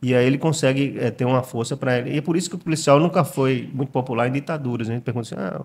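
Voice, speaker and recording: A middle-aged man from Recife talks in his Pernambuco accent as a guest writer. He is reflective and pauses often, repeating words while he gathers his thoughts. His voice sits at an ordinary middle pitch. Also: a little mellow. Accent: Pernambuco Brazilian Portuguese. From Recife, Brazil. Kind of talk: conversational interview.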